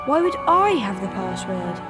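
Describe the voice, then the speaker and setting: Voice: medium pitch, very animated, normal speed, natural voice. A performer voicing a friendly, dryly wry young schoolboy hero in a video game. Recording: loud music under the voice.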